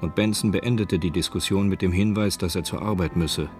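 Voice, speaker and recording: An actor as the sober, factual narrator of a crime radio drama, reading scripted prose. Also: male voice, low-pitched, a little fast, a little mellow, soft background music, lightly acted. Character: sober, factual male storyteller of crime tale.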